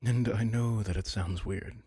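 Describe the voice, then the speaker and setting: A man playing a soft-hearted but possessive young mafia heir in an intimate ASMR roleplay, speaking gently and haltingly in a husky, breathy voice. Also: fairly low pitch, steady tone. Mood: earnest, gently commanding. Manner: halting, gentle, apologetic, slipping into whispers. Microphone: close, dry microphone.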